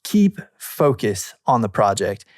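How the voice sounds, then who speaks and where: A man co-hosting a podcast, chatting casually and reading aloud in informal, measured phrases with pointed emphasis. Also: fairly low pitch, sing-song, darkish tone, dry recording, studio quality. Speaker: podcast co-host. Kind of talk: casual podcast chat, reading listener messages aloud with short comments.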